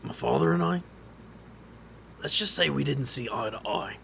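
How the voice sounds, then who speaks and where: A weary, brooding man played by a voice actor speaks in a very gravelly, creaky voice. His delivery is wry and bitter, as in a noir-like audio drama, with faint noise behind him. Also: mid-range voice, animated, moderate pace, fairly bright tone. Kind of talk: audio drama narration and dialogue.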